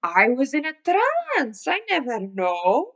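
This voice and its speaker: Woman as a slow, grand phony psychic. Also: sits high, huge pitch swings, fairly bright tone, exaggerated voice. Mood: dazed, grand. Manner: formal, measured, imperious. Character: phony psychic madam, grand, scheming and controlled. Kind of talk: old radio crime melodrama acting.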